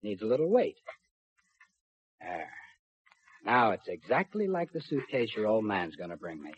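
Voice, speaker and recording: An actor playing a cruel, cocky kidnapper in a 1950s radio drama, with a rough voice and taunting tough-guy slang. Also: fairly low pitch, sing-song, normal speed. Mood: menacing, mocking. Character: sneering, cruel kidnapper, cocky small-time crook turned big. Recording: muffled 1950s radio recording.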